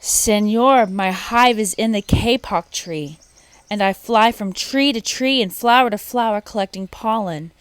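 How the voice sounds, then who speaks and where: A busy bee from a storybook, put on by a woman reader. It speaks loudly in a raised pitch, earnest and eager to explain. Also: a little slow, clear, resonant tone, no room sound, natural voice.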